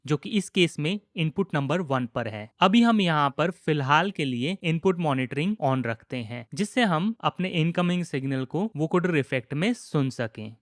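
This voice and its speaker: A man giving a measured, explanatory music software tutorial, mixing English technical terms into his speech over a close microphone. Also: a little high, dry recording. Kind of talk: scripted-sounding software tutorial narration. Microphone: close studio microphone, voice-over.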